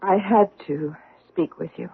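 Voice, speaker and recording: A troubled wife in her forties with a breathy, husky voice, answering in a hesitant and guarded way. It sounds like a tinny old radio play. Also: on the low side, measured pace, full character voice. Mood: tense. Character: troubled middle-aged wife hiding a secret. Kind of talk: 1970s radio drama acting.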